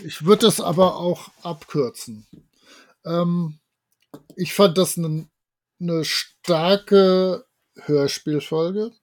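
A man's voice: high-pitched, drawn-out words. A man co-hosting a podcast, giving his opinions in a casual, conversational review style. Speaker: podcast co-host.

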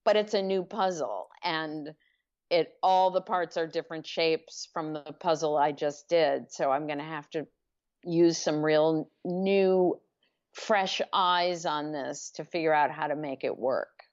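A woman author as an interview guest, answering in a measured, reflective way. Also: deep voice, fairly even intonation, a little mellow, highs cut off. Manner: measured, reflective, uses images to explain.

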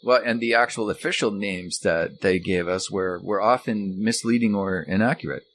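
A man's voice: on the low side, moderate pace, fairly bright tone, slight background noise. A middle-aged or older man chatting casually as a co-host, rambling and repeating words as he goes. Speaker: co-host, author and former radio recording engineer. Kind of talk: casual unscripted conversation.

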